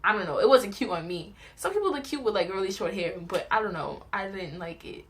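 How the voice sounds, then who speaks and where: A young woman tells personal stories in a casual, chatty vlog style, animated and giggly as she acts out little reactions. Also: medium pitch, brisk. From New York, United States. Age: young adult. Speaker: vlogger telling personal stories.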